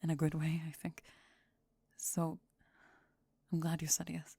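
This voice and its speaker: A woman playing a calm, laconic, deadpan goth teenage girl with a soft heart in an ASMR roleplay. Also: low-pitched, unhurried, vocal fry, husky, dry recording, full character voice. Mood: tender, sincere.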